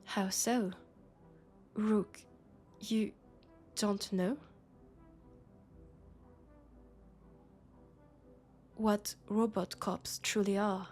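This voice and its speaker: A woman with a French accent playing a worried, exasperated female police colleague and roommate in an intimate sci-fi audio roleplay, speaking softly and hesitantly. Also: mid-range voice, little pitch movement, extremely slow, faint music behind. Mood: uneasy, hesitant.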